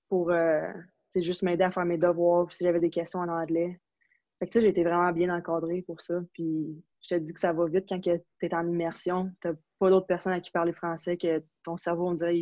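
A young woman from Quebec with a Quebec French accent, chatting casually as an interview guest, relaxed and full of fillers and restarts. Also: lowish voice, no echo. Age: young adult. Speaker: interview guest, university hockey player. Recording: slightly dull, lo-fi sound.

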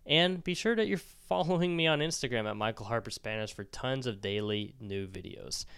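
A man's voice: mid-range voice, animated, brisk, creaky, a bit bright, smooth, no room sound, clean studio sound. A young man hosting a language-learning podcast, talking casually and encouragingly with an occasional restart. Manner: conversational, encouraging, restarts mid-word. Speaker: Spanish-learning podcast host. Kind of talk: casual solo podcast advice.

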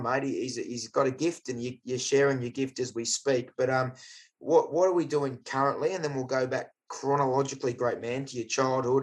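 Matey Australian podcast host. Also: male voice, medium pitch. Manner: enthusiastic, matey, stumbling restarts. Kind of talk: casual podcast interview.

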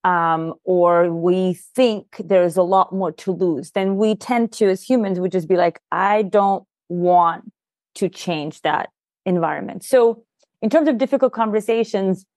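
A woman's voice: fairly low pitch, measured pace, darkish tone, dry recording, clean studio sound. A woman whose first language is Russian, a podcast guest and leadership coach, explaining things earnestly in casual conversation with frequent "you know" and "like".

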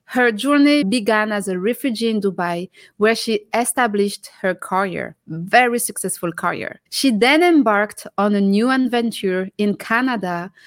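A woman's voice: a little high, no room sound. A woman hosting a leadership podcast, reading an upbeat promotional introduction and then putting questions to her guest. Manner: upbeat, promotional, multilingual greetings. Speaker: podcast host. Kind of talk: scripted podcast intro and interview.

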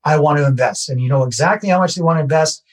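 A Canadian real estate investor speaks in a husky, slightly raised voice. He talks casually and pokes fun at himself, and he comes back to the same key phrases as he lays out his method for a podcast host. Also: a little high, racing. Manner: conversational, self-deprecating, repeats key phrases. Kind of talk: podcast interview, explaining a process.

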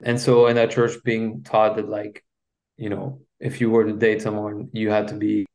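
A man from Belgium whose first language is French, speaking English with a Belgian French accent, recounting his past as a podcast guest in a halting way with frequent restarts. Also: lowish voice, completely flat delivery, darkish tone. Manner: halting, with many restarts and fillers. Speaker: guest, relationship coach. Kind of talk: personal story in a podcast interview.

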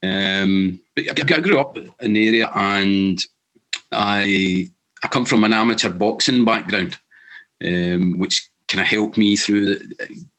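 Earnest Scottish man, lowish voice. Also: fairly bright tone, rough recording. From Scotland. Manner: earnest, halting, many restarts. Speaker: guest. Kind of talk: casual storytelling.

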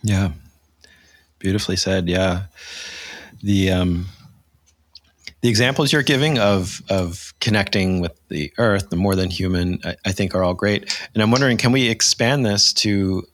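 A podcast host with a very low male voice thanks his guest and asks earnest follow-up questions. The dry, close sound has no room echo. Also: a little slow, fairly bright tone. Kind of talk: interview questions.

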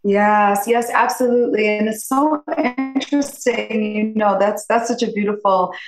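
A woman's voice: medium pitch, fairly even intonation, normal speed, gravelly, echoey room, faint music behind, rough recording. A woman in her fifties from upstate New York, a podcast guest chatting in a rambling, effusive way and laughing often. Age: 50s. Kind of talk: casual interview chat.

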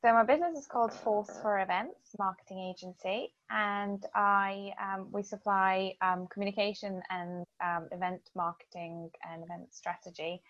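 A woman with a Lithuanian accent, her native language, talks as an interview guest. She is hesitant, filling many pauses, and keeps a flat, even pitch. The recording is dry and somewhat dull. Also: dry recording. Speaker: guest, events marketing business owner. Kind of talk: casual interview chat.

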